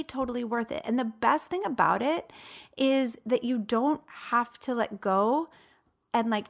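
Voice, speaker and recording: A woman's voice: on the high side, a bit bright. A woman in her late thirties speaking as a coach and host, giving a motivational talk in an emphatic, conversational way with rhetorical questions. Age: late 30s.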